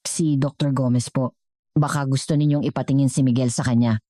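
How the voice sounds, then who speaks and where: A woman's voice: rumbling low, fairly even intonation, fairly quick, mellow, no room sound, studio quality. A woman with a Filipino accent voicing a respectful, earnest, demure nephew of a rich man in a story. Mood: polite, persuasive. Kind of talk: storybook narration dialogue.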